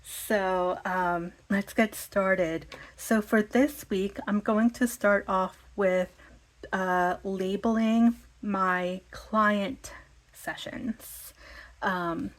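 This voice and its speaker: Slow, slightly raspy woman chatting. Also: mid-range voice, fairly even intonation. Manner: slow, meandering, thinking aloud with fillers. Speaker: vlogger. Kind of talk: casual planning chat.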